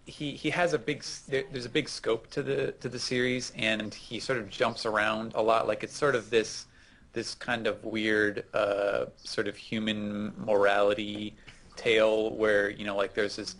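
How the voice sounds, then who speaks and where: A man chatting casually about manga as a podcast co-host, in a hesitant, halting way. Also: on the low side, little pitch movement, a bit bright.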